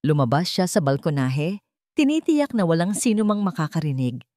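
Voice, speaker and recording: A woman with a low, mellow voice tells a dramatic story in a steady, deliberate way. She is recorded close to the mic, dry, with no room sound. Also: dry recording. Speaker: narrator. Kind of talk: scripted story narration.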